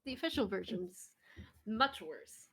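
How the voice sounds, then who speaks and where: A woman's voice: mid-range voice, big pitch swings, a little slow, fairly bright tone, breathy edge. A woman talking casually in a group chat with friends.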